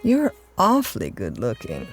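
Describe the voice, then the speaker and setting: A woman's voice: rumbling low, wildly swooping pitch, a little slow, soft background music, exaggerated voice. An actress playing a flirtatious, scheming married woman in a radio drama, coy and coaxing in manner.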